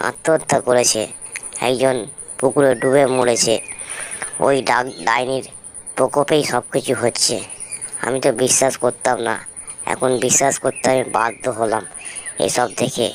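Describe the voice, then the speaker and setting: A man's voice: medium pitch, natural voice. A man voicing a worried, pleading younger village man in a cartoon ghost story. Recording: clear background noise with chirping birds.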